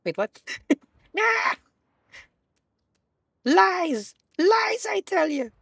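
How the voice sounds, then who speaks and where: A woman with a husky voice, hosting a live stream and rambling playfully while she plays. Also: very high-pitched, very animated, very slow, fairly bright tone, no room sound, studio quality. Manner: rambling, self-correcting, playful. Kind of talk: casual live-stream chat while gaming.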